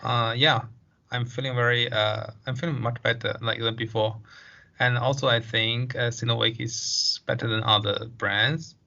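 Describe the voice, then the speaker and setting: Bright-voiced young man, hesitant. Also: mid-range voice, steady tone, normal speed, vocal fry. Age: 20s. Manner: hesitant, many fillers. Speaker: interviewee. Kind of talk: casual interview answers. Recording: dull lo-fi sound.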